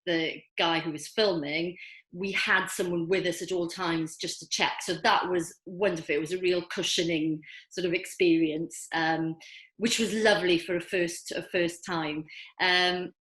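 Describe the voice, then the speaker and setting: A woman's voice: fairly low pitch, steady tone, measured pace, fairly bright tone. An older Welsh woman whose first language is Welsh, a filmmaker answering questions in an online festival Q&A, hesitant with many ums but enthusiastic. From Wales. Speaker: filmmaker guest. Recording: echoey room.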